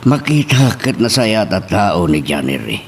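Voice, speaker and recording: A man's voice: lowish voice, big pitch swings, a little slow, slight background noise. A man playing a plain-spoken older father who is fond of his daughter, in an Ilocano-language radio drama. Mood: conceding, persuading.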